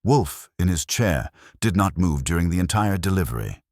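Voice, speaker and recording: A man's voice: deep voice, sing-song, moderate pace, darkish tone, no echo, clean studio sound. A man narrating a hard-boiled first-person detective story in a wry, storytelling manner.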